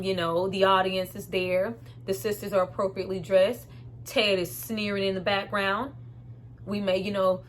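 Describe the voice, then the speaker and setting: A woman's voice: medium pitch, slight background noise. A woman giving a casual, opinionated movie review in a candid, conversational and emphatic way. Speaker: film reviewer and host.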